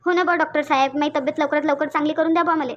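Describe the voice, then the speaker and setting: A woman's voice: high-pitched, fairly even intonation, racing, lo-fi sound, lightly acted. A woman voicing a stubborn, complaining old village grandmother in a cartoon, with a rustic Varhadi Marathi accent and a repetitive, grumbling manner. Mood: complaining, pleading. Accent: Varhadi, Vidarbha Marathi. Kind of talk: cartoon dialogue.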